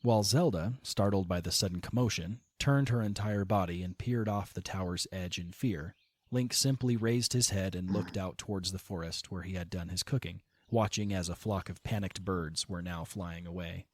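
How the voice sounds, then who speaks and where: A man reading scripted story narration as the narrator of an audio drama, in a measured storytelling manner over music. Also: low voice, no echo.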